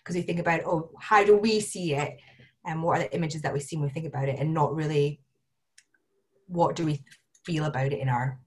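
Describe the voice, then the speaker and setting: A woman's voice: sits low, brisk, breathy edge. Chatty woman from Scotland, rambling. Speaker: panel guest. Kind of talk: casual conversation.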